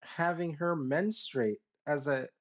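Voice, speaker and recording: A man's voice: on the high side, slow, muffled recording. A man co-hosting a podcast, talking casually and conversationally and often putting questions to the others. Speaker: podcast co-host. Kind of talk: casual group chat.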